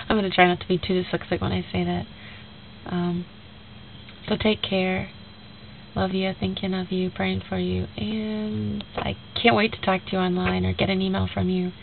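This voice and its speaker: A woman's voice: mid-range voice, vocal fry. Rambling young woman with a stuffy nose. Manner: rambling, many fillers, retells dialogue. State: stuffy nasal voice from a cold. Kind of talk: casual video message to a friend. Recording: tinny lo-fi recording with faint hiss.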